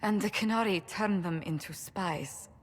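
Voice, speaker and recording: An actress playing an indignant, principled diplomat in a fantasy game, speaking formally and pointedly. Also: female voice, medium pitch, a little slow, lightly acted. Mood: concerned. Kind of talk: dramatic fantasy video game acting. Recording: long hall reverb.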